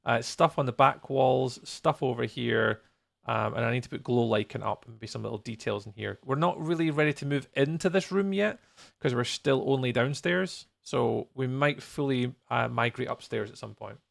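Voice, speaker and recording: Man, mid-pitched voice, heavy vocal fry, casual livestream commentary, rambling, playful. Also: moderate pace. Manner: rambling, thinking aloud, playful. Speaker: live streamer, host.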